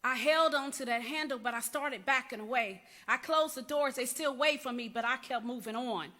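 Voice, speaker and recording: A woman's voice: high voice, normal speed, bright, forceful tone, pitched above the performer's own voice. A woman performing a dramatic church monologue as a rebellious young niece confessing to her aunt, in an emphatic, repetitive storytelling cadence. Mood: intense, haunted. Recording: echoey hall with long reverb.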